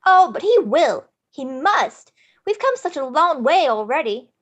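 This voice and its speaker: A woman's voice: sits high, very animated, unhurried. A woman reading the part of a kind, eager, concerned young girl at a table read, full of encouraging exclamations. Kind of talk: live table read of a film script. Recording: slightly dull microphone sound.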